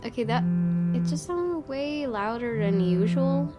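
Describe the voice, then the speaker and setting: A woman's voice: a little high, very animated, a little slow, lo-fi sound. Woman narrating a horror game, over music. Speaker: gaming YouTuber reading a visual novel aloud. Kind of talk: casual let's-play commentary and read-aloud narration. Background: game music with guitar.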